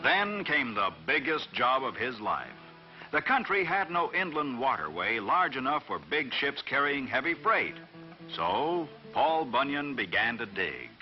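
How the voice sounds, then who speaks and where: A man narrating a scripted children's folk tale in a dramatic, expressive storytelling manner. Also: medium pitch, a little slow. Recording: dull vintage recording, faint music behind.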